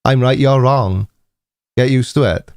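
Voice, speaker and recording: A man's voice: mid-range voice, measured pace, smooth, no echo. A man in his forties with a Welsh accent, hosting a casual live stream chat in a dry, teasing, mock-indignant manner. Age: 40s.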